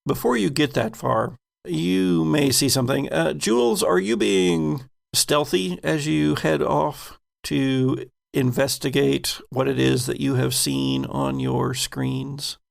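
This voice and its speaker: A man narrating a tabletop game as game master, telling the story in a deliberate, suspenseful way. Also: medium pitch, creaky.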